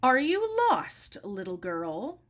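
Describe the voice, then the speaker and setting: A woman's voice: mid-range voice, sing-song, takes it slowly. A woman as an inquiring, kindly elderly doorman. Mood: curious, concerned. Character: suspicious, kindly elderly male theater doorman. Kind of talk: children's storybook read aloud.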